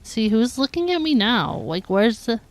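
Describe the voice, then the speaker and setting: A woman live-streaming a game with casual, giggly commentary, reacting out loud as she plays. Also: on the high side, sing-song, moderate pace.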